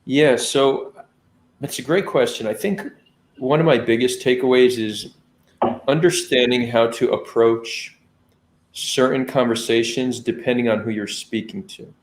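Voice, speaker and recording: A man who is a guest on a podcast, explaining things in a calm, measured way. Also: mid-range voice. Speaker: guest, founder of a dialogue channel. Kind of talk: conversational podcast interview. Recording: roomy echo.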